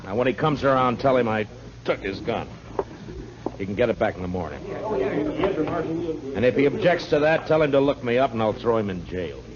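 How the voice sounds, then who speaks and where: An actor playing a blunt, world-weary American frontier marshal with dry humor in a 1950s radio western. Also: male voice, medium pitch, lively intonation, fairly quick, long pauses, lightly acted. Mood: stern, commanding. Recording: muffled, noisy early 1950s radio recording.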